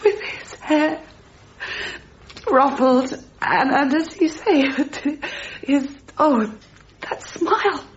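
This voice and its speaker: An actress playing a rational, brittle grieving mother in a naturalistic radio drama, speaking in halting, repeated, self-correcting phrases. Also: high voice, animated, muffled recording, heavily acted. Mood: tearful longing.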